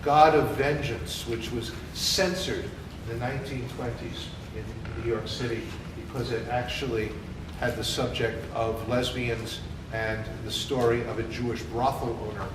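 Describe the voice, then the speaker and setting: A man speaks as a rabbi welcoming an audience, informal and halting, with dramatic repetition. His pitch is medium. He is far from the mic in a noisy, echoey space. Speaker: rabbi introducing the event. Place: temple hall, distant camera mic. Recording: echoey hall, distant mic, clear background noise.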